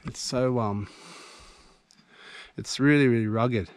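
A man's voice: mid-range voice, takes it slowly, a little hiss or hum. Man marvelling on a bushwalk. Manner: relaxed, easily impressed, talks while hiking. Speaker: bushwalking vlogger. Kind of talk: casual walking commentary. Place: outdoors on a bush track. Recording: music playing under the voice.

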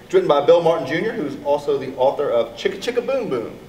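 A middle-aged man reading a children's storybook aloud in an expressive, deliberate manner. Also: fairly high pitch. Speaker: elementary school staff member reading to children. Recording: echoey, distant mic with background noise.

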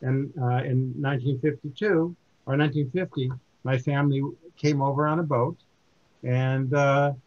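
An older man in his seventies gives an informal book talk to a community group. He speaks haltingly, restarting sentences often, at a middling pitch, on a dull, lo-fi recording. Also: steady tone, darkish tone. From born in Germany, raised in the United States. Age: 70s. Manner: halting, with many restarts and fillers. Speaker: book reviewer giving a talk.

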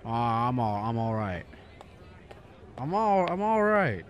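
A man's voice: medium pitch, big pitch swings, takes it slowly, slight background noise. A man playing a despairing, skeptical everyman in a radio drama, wry and stammering. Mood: distraught. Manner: stammering, sardonic, incredulous.

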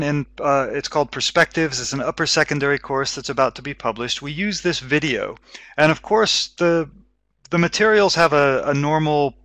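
A middle-aged man presents a webinar on teaching English, explaining in a measured way through a headset mic. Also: mid-range voice, creaky, sharp tone, no room sound. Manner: measured, explaining with frequent restarts. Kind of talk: educational webinar talk.

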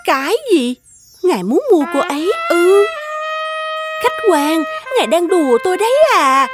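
A woman voicing a fawning, shrewd middle-aged brothel madam in an animated fairy tale, with an exaggerated, obsequious manner. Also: very high-pitched, a little slow. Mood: shocked, indignant. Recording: music behind the voice.

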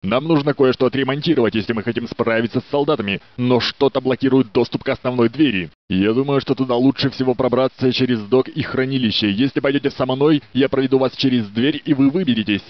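A man's voice: mid-range voice, quick pace, few pauses, no room sound, natural voice. Man doing a polite security guard, dry dub. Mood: friendly. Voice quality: steady, courteous, a little flirtatious. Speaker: security guard in a research facility. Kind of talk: Russian game dub voice lines. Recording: dry, dull studio dub.

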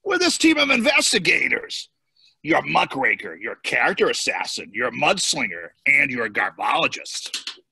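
Man playing a gravelly, forceful campaign adviser. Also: mid-range voice, wildly swooping pitch, moderate pace, no echo, full character voice, poor audio. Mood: brisk. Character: brisk, slick campaign adviser to a tycoon. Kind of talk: amateur cartoon table read. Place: indoors on a home video call.

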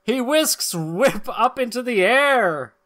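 Male podcast narrator, middle-aged, unhurried and lively, mixing dramatic caption reading with casual banter. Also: very high voice, background noise.

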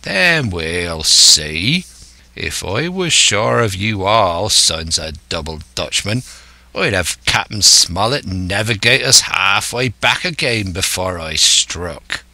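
A man's voice: deep voice, lively intonation, slow, gravelly, bright, forceful tone, no room sound, heavily acted. A man voicing a scheming, domineering older pirate ringleader who speaks emphatically and scornfully in a salty seafaring dialect.

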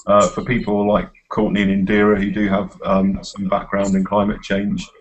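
A middle-aged man with a fairly low voice tutors informally over a video call. He speaks in a hesitant, encouraging way, as if to a small group of students. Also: completely flat delivery, moderate pace, low-quality recording. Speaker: university course tutor. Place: small office, indoors.

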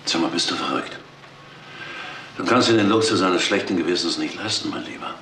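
A man's voice: low-pitched, expressive, brisk, pushed and bright, recorded from a distance, a little hiss or hum, poor audio. A man playing a cold, domineering, controlling businessman in a naturalistic radio crime drama. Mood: cold, pressuring.

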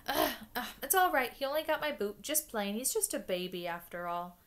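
A woman reading aloud voices a big soft-hearted male giant who dotes on a baby dragon. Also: fairly high pitch, expressive, normal speed, voice pushed up. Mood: doting. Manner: sobbing, doting.

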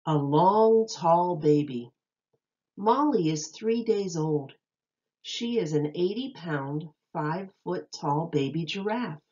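A woman teacher reading a children's nonfiction book aloud, enunciating carefully and deliberately for young listeners. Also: fairly low pitch, a little mellow, lo-fi sound.

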